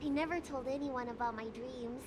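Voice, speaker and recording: Woman voicing a plucky little girl healer, very high. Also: moderate pace, natural voice. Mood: earnest. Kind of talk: video game voice acting. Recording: noisy game capture with ambience.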